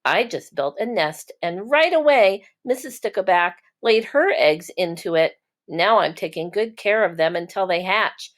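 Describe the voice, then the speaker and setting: A proud storybook father fish, played by a woman reader, speaks in a cheerful, satisfied way. The delivery is slow and plain, suited to small children. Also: medium pitch, animated, lightly acted. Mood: delighted. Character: proud, contented father fish minding his eggs. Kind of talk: children's storybook read-aloud.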